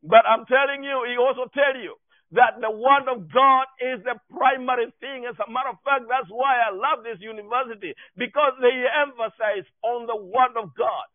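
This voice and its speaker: A man with a Kenyan accent preaching a sermon in an emphatic, exhorting way, repeating his key phrases. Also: very high voice. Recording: echoey room, thin phone-like sound.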